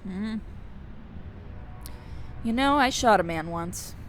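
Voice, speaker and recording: A woman's voice: mid-range voice, animated, slow pace, slight background noise. A woman playing a blunt, wry shipboard snoop with a kind streak, speaking dryly in a sci-fi radio drama. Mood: dry, consoling. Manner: dry, sighing, matter-of-fact.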